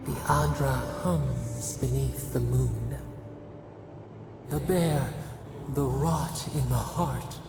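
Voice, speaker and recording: Casting: otherworldly nature spirit for a game, grieving and riddling, extremely slow, deep fry in the voice. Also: reverberant, full character voice. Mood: mournful, cryptic. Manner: slow, solemn, poetic and riddling. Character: ancient otherworldly nature spirit speaking as many, mournful and cryptic. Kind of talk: video game voice acting.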